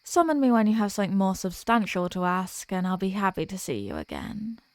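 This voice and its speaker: A woman's voice: medium pitch, sing-song, brisk, no room sound. A woman playing a flirtatious female archdemon in a roleplay audio drama, with a coy, smug and teasingly formal manner. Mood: dismissive, amused.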